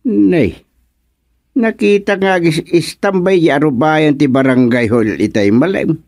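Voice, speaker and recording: Grumbling Ilocano village chairman, played by a man: higher male voice, dry close sound, blunt, radio comedy. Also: unhurried, a little mellow, no room sound. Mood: puzzled. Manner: blunt, questioning, muttering interjections. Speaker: actor in radio comedy drama. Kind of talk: Filipino radio drama comedy acting.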